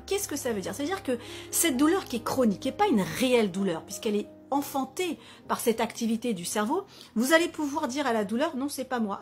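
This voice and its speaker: A woman wellness author talks to camera, explaining her idea in a lively way with examples and asides. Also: on the high side.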